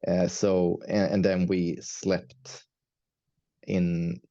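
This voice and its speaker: A man's voice: deep voice, little pitch movement, very slow, dark tone, no echo, lo-fi sound. A man whose first language is Swedish, a metal musician chatting as an interview guest, hesitant with many fillers and restarts. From Sweden. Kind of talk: casual interview chat.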